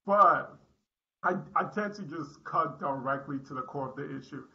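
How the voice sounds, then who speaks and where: A man with a deep voice delivers a personal speech to his club in a direct, candid way, often restarting his sentences. His tone is strikingly bright and forceful, and the recording sounds dull and lo-fi. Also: moderate pace, vocal fry. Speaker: club speaker.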